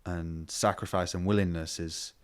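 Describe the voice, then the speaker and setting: Deep-voiced man co-hosting a podcast. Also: fairly even intonation, moderate pace, smooth. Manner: supportive, brief interjections. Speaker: podcast co-host. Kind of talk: casual podcast chat.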